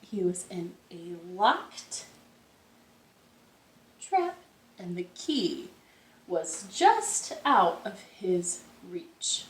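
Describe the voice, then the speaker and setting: A woman hosting a storytime for young children, reading aloud expressively with drawn-out phrases and questions to her listeners, recorded indoors at home. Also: on the low side, sing-song. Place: indoors, in a living room. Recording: roomy, echoey home room sound.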